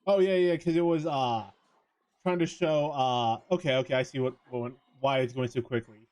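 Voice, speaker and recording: A man chatting casually as he streams a visual novel, speaking haltingly and trailing off mid-thought. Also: mid-range voice, steady tone, darkish tone.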